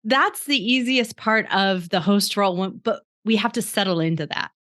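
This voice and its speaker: A woman's voice: medium pitch, normal speed, fairly bright tone. A woman hosting a podcast interview, upbeat and encouraging, laughing easily as she asks questions. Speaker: podcast host. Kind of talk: casual interview chat.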